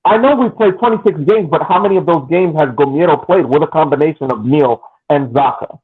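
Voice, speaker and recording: A man's voice: a little high, fairly quick, slightly rough, narrow, tinny audio. A man co-hosting a casual sports podcast, giving long analytical takes with frequent restarts. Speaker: podcast co-host.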